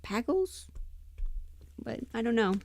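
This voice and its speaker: A woman's voice: on the high side, big pitch swings, takes it slowly, a little mellow. A woman chatting casually on a livestream, informal and rambling, muttering asides to herself as she thinks aloud. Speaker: livestream host and amateur voice performer.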